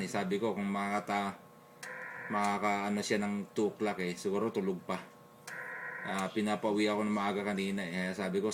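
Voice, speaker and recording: A man with a Filipino accent talking casually as an amateur radio operator, in a hesitant, halting way. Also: fairly low pitch, noisy background. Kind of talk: casual two-way radio chat. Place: beside a radio set, recording it on a phone.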